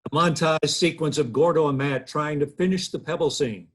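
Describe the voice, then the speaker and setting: Man reading scene directions, husky, slightly high, video call. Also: moderate pace. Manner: plain, steady read of scene directions. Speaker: stage directions reader. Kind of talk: reading stage directions at a table read.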